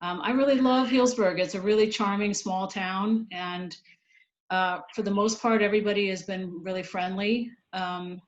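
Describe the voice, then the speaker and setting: A woman's voice: mid-range voice, fairly even intonation, normal speed. A woman from Ecuador introducing herself informally as a political candidate in an online meeting. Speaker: city council candidate. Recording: dull, noisy online call with clicks.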